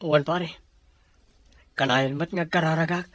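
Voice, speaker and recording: Man playing an anxious young fiancé, sharp bright voice. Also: fairly high pitch, steady tone, normal speed, no echo, lightly acted. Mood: worried. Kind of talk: Ilocano radio drama acting.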